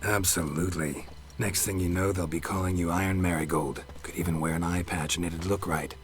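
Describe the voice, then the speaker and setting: An actor playing a gruff, stoic monster hunter in a video game, terse and deadpan. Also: male voice, deep voice, brisk, lightly acted. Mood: deadpan teasing. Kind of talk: video game dialogue acting.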